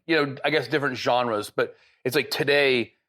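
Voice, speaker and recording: A man's voice: mid-range voice, moderate pace, bright, forceful tone. A man hosting a podcast interview, talking in a loose, rambling way full of fillers. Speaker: podcast host. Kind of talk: casual interview chat.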